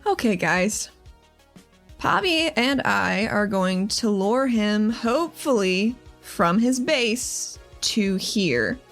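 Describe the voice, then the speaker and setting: A resolute squad leader played by a woman at a game table, frank and businesslike. Her voice sits at a middle pitch, with music playing faintly behind it. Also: animated, takes it slowly. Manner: direct, frank.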